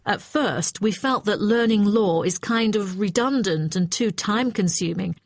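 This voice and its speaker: Woman as young female student, medium pitch, conscientious and assertive, scripted exam dialogue, very dry sound. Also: measured pace, dry recording.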